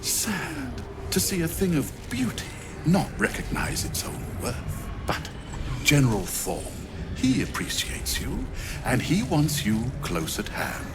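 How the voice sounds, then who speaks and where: A smug, menacing video game necromancer. His delivery is slow, vain and condescending, sweeping dramatically up and down in pitch. Music plays behind him. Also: wildly swooping pitch, exaggerated voice. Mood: silky, condescending. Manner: theatrical, drawn-out, condescending. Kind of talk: video game villain acting. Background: game soundtrack music.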